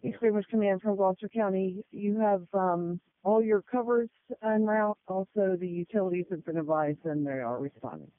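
A man's voice: sits high, normal speed, few pauses, no echo. A male county fire dispatcher speaking in clipped phrases over two-way radio. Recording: tinny narrow dispatch radio channel.

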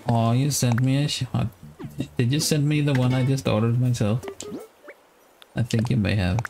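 A man in his thirties with a Danish accent, giving relaxed, casual live game commentary and musing aloud as he plays. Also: mid-range voice, moderate pace, gravelly. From Denmark. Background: guitar music.

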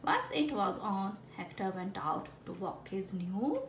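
A woman's voice: medium pitch, big pitch swings, unhurried. A woman reading a picture book aloud expressively, with exaggerated storytelling and playful asides for children. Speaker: children's story reader with a frog puppet. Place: indoors at a wooden table. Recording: roomy home recording with background noise.

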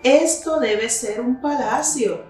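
A woman's voice: sits high, takes it slowly, darkish tone, faint music behind. Woman voicing a wide-eyed little toy bear, echoey. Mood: wondering. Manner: naive, full of wonder. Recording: very echoey room, distant mic.

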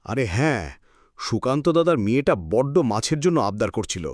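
A man's voice: medium pitch, lively intonation, fairly quick, no echo, clean studio sound, close to an everyday voice. An actor playing a principled, kind-hearted village fisherman husband in a cartoon. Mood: earnest. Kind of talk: cartoon voice acting.

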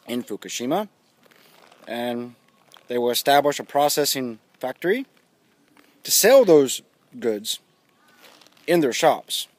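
A man's voice: fairly high pitch, no room sound. A man in his thirties giving blunt, sardonic, casual commentary to camera, recorded outdoors on a handheld device at arm's length. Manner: blunt, emphatic, sardonic, with swearing. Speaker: vlogger giving news commentary. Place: outdoors, walking in a residential neighborhood. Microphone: phone or camera at arm's length.

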